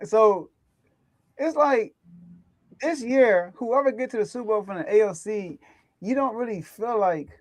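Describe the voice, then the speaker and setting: High-voiced man in loose sports banter. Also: no echo. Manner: rambling, laughs often, many restarts. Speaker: sports show host. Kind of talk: casual sports chat.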